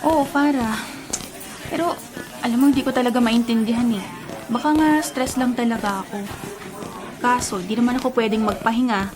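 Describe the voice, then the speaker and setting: A woman's voice: fairly high pitch, normal speed, pauses often, close to an everyday voice. An actress playing a young, troubled and confused woman race driver in a Filipino radio drama, earnest and conversational. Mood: casual, defensive. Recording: radio drama under clear background music.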